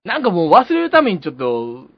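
A man's voice: sits high, huge pitch swings, fast talker, gravelly. A man as a talk show guest, chatting casually with amused, playful asides. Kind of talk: casual chat. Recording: dull lo-fi audio. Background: background music.

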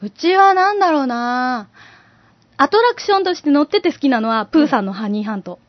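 A woman's voice: high voice, expressive, fairly quick, dry recording. A woman chatting casually as a podcast co-host, explaining things with lots of mimetic words. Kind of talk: casual chat. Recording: muffled lo-fi podcast audio.

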